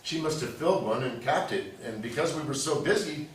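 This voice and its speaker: A man's voice: medium pitch, animated, brisk, close to an everyday voice. A man acting in a staged reading as a flustered, defensive small-town pharmacist who stammers and protests. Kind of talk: staged play reading, naturalistic. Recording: very echoey room, distant mic, faint hiss.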